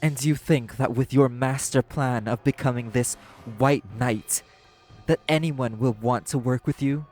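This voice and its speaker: A man acting out a jaded, sardonic club manager in an audio drama. The voice is mid-pitched and world-weary, with a confrontational edge, over soft background music. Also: unhurried, close to an everyday voice. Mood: scornful, confrontational. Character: apathetic, cynical socialite club manager and parent. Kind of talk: scripted audio roleplay acting.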